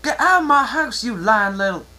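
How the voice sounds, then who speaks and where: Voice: medium pitch, lively intonation, moderate pace, pushed and bright, voice pushed up. A performer voicing a blunt, protective female farm pony with a folksy rural drawl, in a cartoon fan-fiction reading. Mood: furious.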